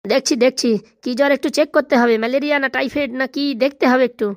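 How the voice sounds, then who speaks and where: Woman as a high-pitched, cocksure cartoon doctor. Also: steady tone, quick pace, no echo, studio quality, lightly acted. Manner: boastful, emphatic. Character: brash, cocksure village doctor with comic diagnoses. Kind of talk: comic cartoon voice acting.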